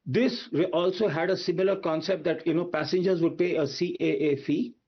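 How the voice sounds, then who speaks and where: Man, Indian accent, expert panelist, emphatic and argumentative, higher-than-average pitch, dull band-limited audio. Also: fairly even intonation, fairly quick, vocal fry. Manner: emphatic, argumentative, with rhetorical questions. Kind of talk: spoken analysis on a news debate.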